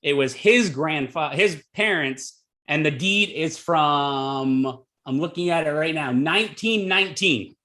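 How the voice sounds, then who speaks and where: Male podcast host, bright and a bit high, easygoing filler-heavy questions over video call. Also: unhurried.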